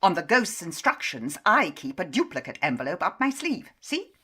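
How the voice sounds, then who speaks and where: A woman's voice: on the low side, very animated, moderate pace, pushed and bright, breathy edge, full character voice. An actress playing a stern, knowing middle-aged mother at the opera in a radio drama, sardonic and foreboding. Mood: indignant, sardonic. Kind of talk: full-cast radio drama acting.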